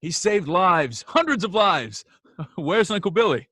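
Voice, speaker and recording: Man playing earnest young man, higher-pitched voice. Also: big pitch swings, unhurried, sharp tone, dry recording, clean studio sound, heavily acted. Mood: excited. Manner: emphatic, impassioned. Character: earnest, hot-tempered, idealistic young small-town man. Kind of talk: old-time radio drama acting.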